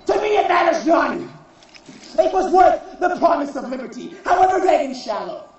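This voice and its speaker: A man performing a theatrical monologue as a proud, defiant warrior, solemn and declamatory, in deliberate phrases. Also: very high-pitched, sing-song, a little hiss or hum. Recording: distant, very echoey, muffled recording.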